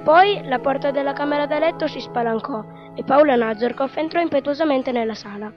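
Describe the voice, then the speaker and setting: A woman's voice: high voice, fairly quick. A woman narrator reading scripted narration for a radio drama. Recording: dull, lo-fi radio drama sound. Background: music.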